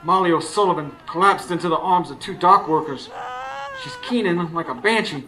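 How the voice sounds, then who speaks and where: A man's voice: high voice, big pitch swings, normal speed. A man acting in a radio drama as a weary, haunted working-class man who narrates his troubles in folksy speech. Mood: alarmed. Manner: folksy, colloquial. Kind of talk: radio drama first-person narration and dialogue. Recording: faint noise, live stage recording.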